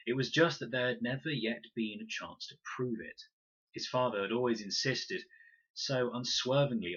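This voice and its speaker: A man reads a children's novel with deliberate, expressive storytelling. His voice is fairly low and rough-edged, with a bright, forceful delivery, captured in dull sound. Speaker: story reader, teacher. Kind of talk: scripted narration, children's novel read aloud.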